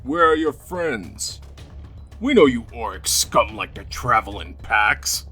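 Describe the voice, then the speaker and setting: An actor playing a burly, hostile townsman with a rough, husky voice, accusing and menacing in a fantasy audio story. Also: medium pitch, sing-song, takes it slowly, sharp tone, faint music behind, full character voice. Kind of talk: scripted audio story acting.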